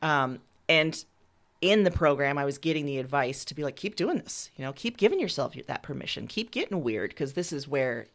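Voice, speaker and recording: A woman's voice: sits low, animated, moderate pace. A woman writer being interviewed, talking candidly and informally in a casual conversational style.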